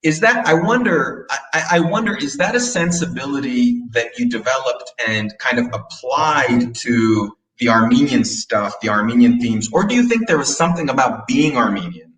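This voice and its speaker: A man's voice: mid-range voice, lively intonation, normal speed, few pauses, fairly bright tone. A man moderating a panel who asks a long, meandering question with many hedges. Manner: rambling, hedging question. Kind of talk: interview question. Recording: echoey room.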